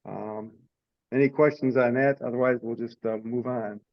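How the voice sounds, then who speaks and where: A man's voice: medium pitch, fairly even intonation, normal speed. A man chairing an informal online meeting over a lo-fi conference connection. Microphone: teleconference connection. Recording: dull, lo-fi conference call audio.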